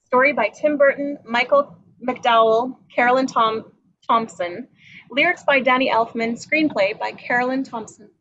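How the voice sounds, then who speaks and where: A woman's voice: a little high, moderate pace, a bit bright, lo-fi sound. A woman reading screenplay credits and action descriptions aloud as scripted narration. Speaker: stage directions reader. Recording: faint background noise.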